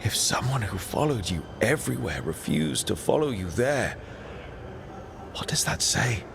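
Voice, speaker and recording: A man's voice: fairly low pitch, sing-song, unhurried, vocal fry, background noise, full character voice. An actor playing a determined male adventurer in a fantasy video game, speaking earnestly and plainly. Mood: firm, persuasive. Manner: earnest, plain-spoken persuasion. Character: earnest, determined heroic male adventurer pleading his case. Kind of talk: dramatic video game dialogue.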